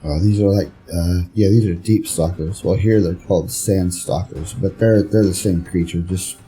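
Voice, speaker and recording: A man's voice: low-pitched, fairly even intonation, normal speed, dark tone, soft background music, poor audio. A man giving casual, offhand gameplay commentary in a laid-back way. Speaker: gameplay host.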